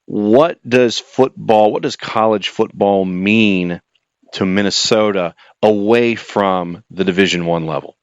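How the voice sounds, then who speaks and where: A man's voice: fairly low pitch, slow, clear, resonant tone. Chummy guy hosting sports radio, resonant and clean voice, bit muffled top end, no echo. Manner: chummy, polished announcer patter. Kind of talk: conversational radio interview hosting.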